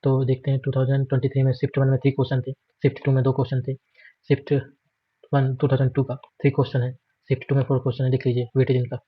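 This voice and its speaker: An Indian man speaks as an exam prep instructor in a quick, monotone delivery. He runs through lists in an informal, matter-of-fact way, dropping in English terms. The recording is slightly muffled and lo-fi. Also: mid-range voice, breathy edge, dry recording. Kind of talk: informal screen walkthrough lesson.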